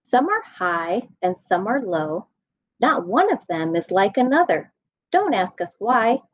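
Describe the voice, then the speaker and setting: Woman, 30s, children's storytime reader. Lively swooping intonation, unhurried rhyming delivery, slightly muffled webcam sound. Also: medium pitch. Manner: expressive, deliberate sing-song rhyme reading. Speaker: school staff nutritional specialist reading a children's storybook. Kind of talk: read-aloud storytime for children. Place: indoor room at home.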